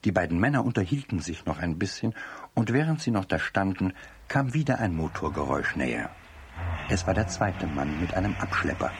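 A man's voice: low-pitched, very animated, a little fast, noisy background. A man as an amused, friendly narrator telling a children's radio story in scripted prose. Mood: amused narration.

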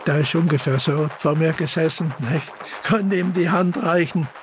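Guy w higher voice remembering stuff, halting, chatty, bit of noise. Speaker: eyewitness. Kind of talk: conversational recollection.

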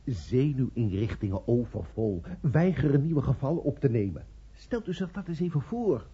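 Insistent sensation-hungry reporter, very husky, lively swings, dull old radio-play recording. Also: male voice, mid-range voice, normal speed. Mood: sensational, eager. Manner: eager, emphatic. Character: excited, sensation-hungry male journalist, insistent. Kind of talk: Dutch radio play acting.

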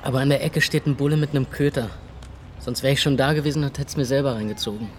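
A man narrating in the first person in a radio drama, rough and weary, with coarse language. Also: medium pitch, fairly even intonation, fast talker, a little hiss or hum. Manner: rough, weary inner monologue with coarse words. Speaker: narrator and lead character.

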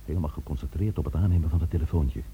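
A man's voice: rumbling low, very fast, narrow, tinny audio, slight background noise. An actor playing a stern, authoritative man taking charge of a crisis in a radio drama, grave and emphatic. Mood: grave, determined.

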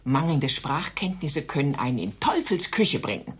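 A sharp-tongued female patient in a radio satire. Her very low, husky voice swings widely in pitch. She talks pointedly and chattily. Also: big pitch swings, moderate pace, exaggerated voice. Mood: chatty. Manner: pointed, opinionated.

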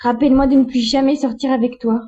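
A woman playing a secret agent's sharp-tongued young companion in a science-fiction audio drama. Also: sits high, little pitch movement, fast. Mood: exasperated. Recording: dull, slightly muffled sound.

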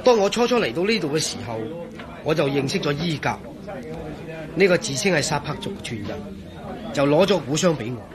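Radio drama support: male actor as earnest engineer, Hong Kong Cantonese, slightly high voice, very lively and emphatic. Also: brisk, long pauses, a crowd in the background, lightly acted. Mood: cooperative. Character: earnest, regretful male engineer in charge of waterworks.

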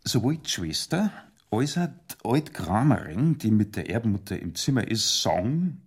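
Plodding bavarian village cop, husky lowish voice, hesitant, matter of fact, radio drama. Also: male voice, very animated, moderate pace, full character voice. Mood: careful reporting. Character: hesitant, plodding male village police sergeant.

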